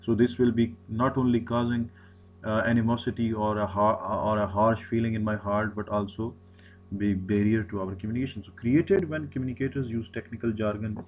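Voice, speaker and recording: A man's voice: lowish voice, fairly even intonation, brisk, highs cut off, a little hiss or hum. A man, an Urdu speaker, giving a recorded lecture, often repeating himself and restarting phrases. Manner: repetitive, many restarts and fillers.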